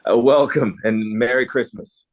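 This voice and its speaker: A man's voice: medium pitch, unhurried, lightly acted, poor audio. A man playing an anxious small-town event organizer in a script table read. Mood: uneasy.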